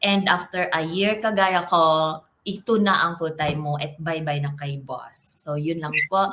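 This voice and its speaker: A woman with a Filipino accent, a guest coach giving animated motivational talk on a video call. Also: low-pitched, moderate pace, slight background noise. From Philippines. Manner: animated, switching between English and Tagalog.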